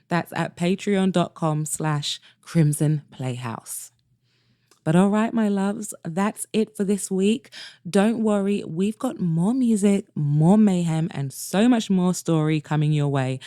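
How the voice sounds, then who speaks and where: A woman podcast host and narrator reading romance fiction in a dramatic, deliberate way. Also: lowish voice, mellow, dry recording, studio quality. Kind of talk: first-person romance audiobook narration.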